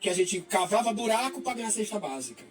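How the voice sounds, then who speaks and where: A man's voice: high voice, sing-song, quick pace. A man, hosting a radio talk show in a chatty, folksy manner. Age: 50s. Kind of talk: casual radio interview. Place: radio studio. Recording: distant mic, faint music underneath.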